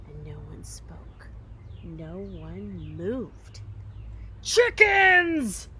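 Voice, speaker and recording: A woman reading a children's story aloud expressively, with dramatic emphasis, pauses and occasional hushed phrasing. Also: mid-range voice, animated, a little hiss or hum. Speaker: storybook reader.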